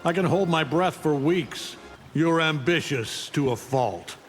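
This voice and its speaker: A man's voice: on the high side, expressive, measured pace, in a large hall, soft background music. A man voicing an arrogant, menacing alien conqueror in a video game, with a contemptuous, commanding manner. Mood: dismissive. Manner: contemptuous, taunting, coldly commanding. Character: arrogant, menacing alien conqueror, a middle-aged superhuman father. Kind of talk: video game voice acting, fight intro taunts.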